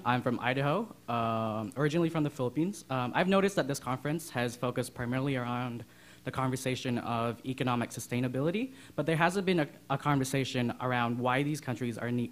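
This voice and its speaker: A young man in the audience asking an earnest question in a measured way. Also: mid-range voice.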